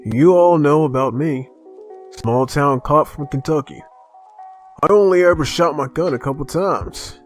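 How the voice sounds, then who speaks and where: An actor playing a steady, friendly small-town cop among survivors in a comic dub. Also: male voice, a little high, unhurried, lightly acted. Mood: reflective. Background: background music.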